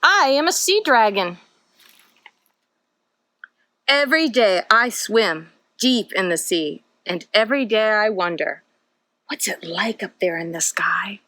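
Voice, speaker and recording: A woman's voice: medium pitch, expressive, slow pace, long pauses, bright, forceful tone, dry recording, voice pushed down. A woman reading aloud as a curious, dreamy sea dragon from a picture book, wondering and asking questions.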